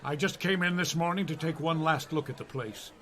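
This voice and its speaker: A seasoned old dwarf warrior acted by a man, with a forceful, somewhat high voice. The character is humble and avuncular, talking plainly in comedy radio play style. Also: a little fast, close to an everyday voice. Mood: reminiscing.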